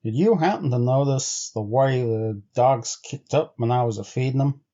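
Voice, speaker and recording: A man voicing an uneasy, insistent sled-dog driver in a storybook, speaking gravely and deliberately in rustic, old-time phrases. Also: medium pitch, dark tone, highs cut off, natural voice. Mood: puzzled, probing. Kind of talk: storybook character voice.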